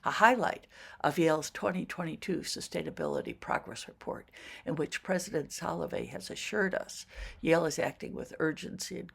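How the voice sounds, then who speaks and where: Woman trustee, husky creaky voice, formal measured reading. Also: low-pitched. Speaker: trustee introducing a speaker. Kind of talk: read introduction.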